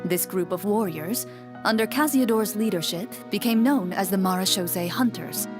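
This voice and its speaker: A woman playing a composed young swordswoman and game master in a video game, speaking in a measured, formal, matter-of-fact way. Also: mid-range voice, over music, natural voice. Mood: composed, explanatory.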